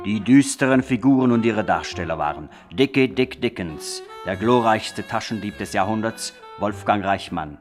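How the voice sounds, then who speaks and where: A man's voice: fairly low pitch, expressive, normal speed, hardly any pauses. A man reading credits as a scripted, tongue-in-cheek 1960s radio announcer in a mock-solemn manner. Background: music.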